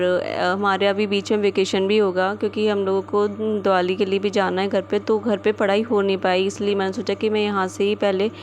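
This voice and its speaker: A woman's voice: mid-range voice, slight background noise. A young woman telling about her day in a casual voice-over, chatty and full of fillers, her throat a little sore. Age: young adult. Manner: chatty, with many fillers and English words mixed in. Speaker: medical student vlogger. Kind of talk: casual vlog voice-over narration.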